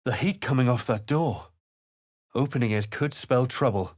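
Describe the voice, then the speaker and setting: An actor playing an observant, principled and wry fantasy adventurer in a video game, with measured, thoughtful remarks. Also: male voice, medium pitch, a little mellow, lightly acted. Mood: wary. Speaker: player character voice actor. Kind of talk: video game companion barks.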